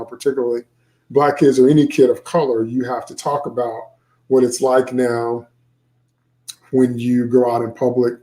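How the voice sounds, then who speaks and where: Mellow-voiced man, an author talking informally. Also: mid-range voice, rough recording. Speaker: author presenting his book. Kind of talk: informal talk to viewers about his book.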